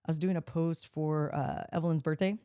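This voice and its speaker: A woman with a deeper voice co-hosting a podcast, chatting casually, reading out listener messages and laughing as she swears playfully. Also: steady tone, a little fast, dark tone, clean tone. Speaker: podcast co-host. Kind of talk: casual chat.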